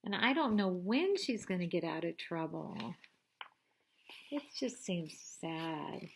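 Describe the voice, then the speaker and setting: Slow-paced woman reading a children's story. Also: fairly low pitch, vocal fry, a little hiss or hum. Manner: expressive, asks listeners questions. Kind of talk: children's read-aloud narration with asides.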